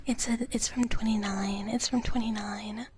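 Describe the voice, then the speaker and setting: A woman speaking in a soft, breathy whisper close to the microphone, chatting casually and playfully as a livestream host and often repeating her phrases. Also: medium pitch, fairly even intonation, normal speed, slight background noise. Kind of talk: live ASMR whispering, casual chat with viewers. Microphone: close ASMR microphone.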